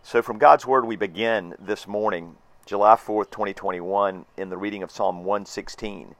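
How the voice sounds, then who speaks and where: Hoarse pastor preaching. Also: male voice, fairly low pitch, fairly even intonation, normal speed, a bit bright, no echo. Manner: earnest, reflective, with restarts and emphatic repetition. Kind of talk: sermon.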